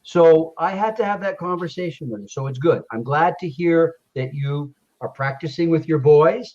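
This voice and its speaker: A man's voice: fairly high pitch, normal speed, darkish tone. A man with a slightly raised voice, coaching an English learner in easygoing chat. He keeps encouraging her and repeats key phrases for emphasis. His voice comes through dull, lo-fi video call audio. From Canada. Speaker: English tutor. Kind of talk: casual conversation lesson.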